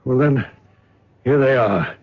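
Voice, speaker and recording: Husky, scared diamond courier. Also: male voice, mid-range voice, takes it slowly. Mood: frightened. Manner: anxious, pleading. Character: frightened diamond-carrying man, pleading. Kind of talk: 1970s radio drama acting. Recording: dull old radio recording.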